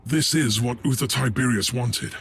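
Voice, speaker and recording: An actor playing a self-assured fanatical renegade in a video game, measured, formal and quietly menacing. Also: male voice, mid-range voice, creaky, a little hiss or hum, close to an everyday voice. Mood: fervent, assured. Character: calm, fanatical male renegade, coldly courteous and self-assured. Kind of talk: theatrical video game voice acting.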